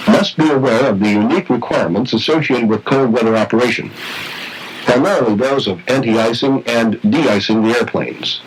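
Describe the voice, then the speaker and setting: A man reading scripted instructional narration for a training film in a formal, measured, precise way. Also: medium pitch. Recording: noisy vintage training film soundtrack.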